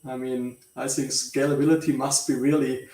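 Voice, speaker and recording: Upbeat host, roomy and off-mic. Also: male voice, mid-range voice, flat delivery, moderate pace, warm tone, recorded from a distance. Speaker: meetup host. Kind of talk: hosting an online meetup.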